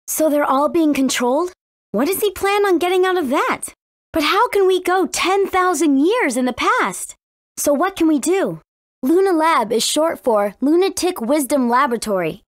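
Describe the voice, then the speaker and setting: A woman voicing a brave, friendly teenage warrior princess in a video game, with an earnest, clearly spoken manner. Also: sits high, lively intonation, moderate pace, dry recording, studio quality. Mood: thoughtful. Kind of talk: scripted video game character dialogue.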